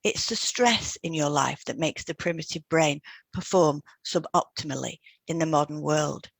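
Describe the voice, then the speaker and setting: A woman with a slightly low voice reads a scripted podcast discussion. Her delivery is measured and explanatory, like someone teaching listeners step by step. The sound is dry, with hardly any room in it. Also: creaky, no echo. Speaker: podcast co-host, hypnotherapist.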